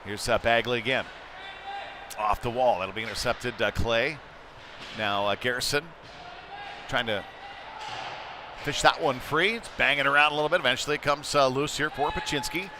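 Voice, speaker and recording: A man's voice: mid-range voice, normal speed, long pauses, noisy background. A man doing live play-by-play commentary of a youth hockey game, with conversational, somewhat hesitant phrasing between plays. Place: indoor ice rink.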